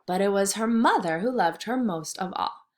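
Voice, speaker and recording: A woman's voice: mid-range voice, normal speed. A woman teaching kindergarten through a read-aloud, instructing and thinking aloud in simple words for young children.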